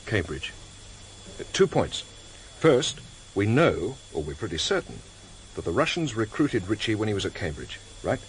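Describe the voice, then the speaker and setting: A man playing a dogged senior detective in a radio drama, deliberate and probing. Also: on the low side, big pitch swings, poor audio. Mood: brooding. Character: dogged, plain-speaking senior detective on his last case. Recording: hissy vintage radio drama tape.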